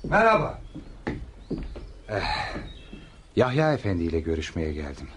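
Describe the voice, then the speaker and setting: Low, hesitant foreign merchant. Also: male voice, wildly swooping pitch, slight background noise. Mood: polite, wary. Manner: hesitant, halting. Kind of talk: Turkish radio theatre acting.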